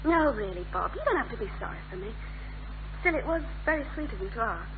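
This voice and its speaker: A woman's voice: on the high side, very animated, quick pace. A woman playing a feisty, sardonic young woman in a radio sitcom. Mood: subdued, surprised. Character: feisty, exasperated young northern woman who can turn coy. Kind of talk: 1970s British radio sitcom acting. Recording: thin phone-like old radio recording, faint hum.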